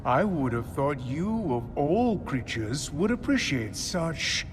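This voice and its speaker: Slow, pompous alien prophet. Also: male voice, fairly high pitch, big pitch swings. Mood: grandiose. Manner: pompous, theatrical, measured monologuing. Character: grandiose alien prophet, commanding religious leader of a covenant. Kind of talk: video game cutscene acting. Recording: loud music behind the voice.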